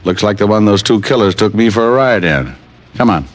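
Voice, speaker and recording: An actor playing a tough, wry, wisecracking private detective in an old-time radio drama, with clipped hard-boiled delivery. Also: male voice, lowish voice, expressive, brisk, close to an everyday voice. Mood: brisk, sardonic. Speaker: lead actor and narrator. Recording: vintage radio recording with music.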